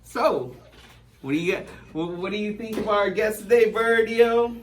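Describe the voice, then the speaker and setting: A show host chatting casually in a halting, hesitant way. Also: medium pitch. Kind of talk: casual unscripted show chat. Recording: distant mic with background noise.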